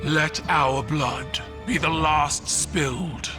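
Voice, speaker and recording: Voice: medium pitch, slow, over music, lightly acted. An actor voicing a proud, stern alien warrior empress in a game cutscene, declaiming with ceremonial command. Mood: solemn, ceremonial. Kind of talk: dramatic game cutscene oratory.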